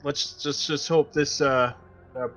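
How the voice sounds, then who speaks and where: A man roleplaying as a player in a tabletop game, improvising his lines haltingly. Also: on the high side, fairly even intonation, a bit bright, faint music behind. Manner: hesitant, halting.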